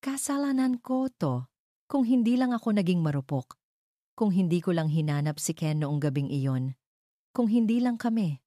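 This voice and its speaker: A woman's voice: lowish voice, big pitch swings, normal speed, mellow, no echo, clean studio sound. A woman voicing an anguished young mother in an audio drama, full of bitter self-blame and emotional accusation. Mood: anguished regret.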